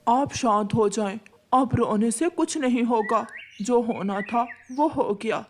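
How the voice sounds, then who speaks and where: Cartoon father bird, played by a woman: unhurried, soothing, resigned, faint background noise. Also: on the high side. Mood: resigned, consoling. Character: calm, resigned father sparrow consoling his wife. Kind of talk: Urdu cartoon voice acting.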